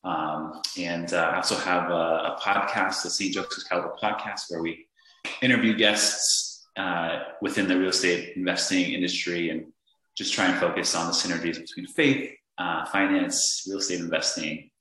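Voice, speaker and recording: A man from Northern Virginia, a guest on a podcast, speaking earnestly in a halting way with many fillers and restarts. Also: low-pitched, fairly even intonation, sharp tone. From Northern Virginia, USA. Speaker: podcast guest, real estate investor. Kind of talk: casual interview conversation. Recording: echoey room.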